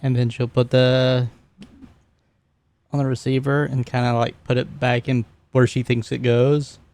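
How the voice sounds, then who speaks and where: A man playing a tabletop roleplaying game, talking casually and describing his character's actions in a halting way. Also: mid-range voice, flat delivery, darkish tone, no room sound.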